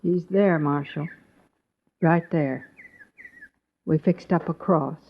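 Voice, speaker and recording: A woman's voice: low-pitched, takes it slowly, gravelly, full character voice. An older woman playing a plain-spoken, firm, widowed pioneer mother in an old radio western. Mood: mournful, resigned. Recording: dull old radio recording.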